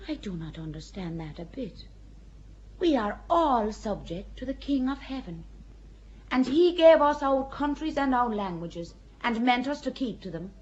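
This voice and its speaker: An actress playing an earnest young peasant girl who speaks plainly and with calm, quiet defiance in a radio drama. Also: a little high, a little slow, lo-fi sound, a little hiss or hum. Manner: plain-spoken, calmly certain, quietly defiant.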